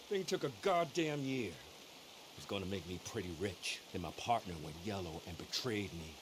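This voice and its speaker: An actor playing a rough, proud, vengeful American outlaw in a western video game, plain-spoken and boastful. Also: male voice, lowish voice, noisy background, close to an everyday voice. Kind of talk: video game cutscene acting.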